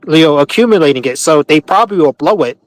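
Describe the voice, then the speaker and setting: Blunt high-pitched guy, cuts in a lot, dry close mic. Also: lively intonation, quick pace, no room sound, poor audio. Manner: blunt, interjects with "dude" and "man". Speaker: chat participant. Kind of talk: casual online group chat.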